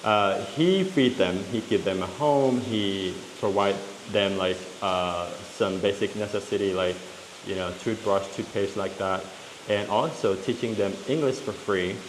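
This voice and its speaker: A Lao man in his thirties with a Lao accent, explaining things in a casual vlog with frequent fillers and restarts. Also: fairly low pitch. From Laos. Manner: talks in halting stretches with many fillers and restarts. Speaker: vlogger. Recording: echoey room with long reverb and faint hiss.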